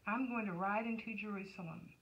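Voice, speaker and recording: Woman reading as a calm, resolute storybook leader. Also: medium pitch, a little fast, muffled recording, natural voice. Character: calm, resolute adult man, gentle religious leader. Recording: noticeable background noise.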